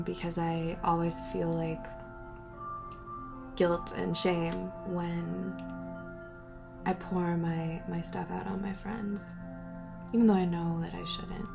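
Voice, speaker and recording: A woman's voice: fairly low pitch, little pitch movement, slow pace, gravelly, over music. A young woman answering viewer questions in a rambling, casual chat, breaking off mid-sentence and laughing between thoughts.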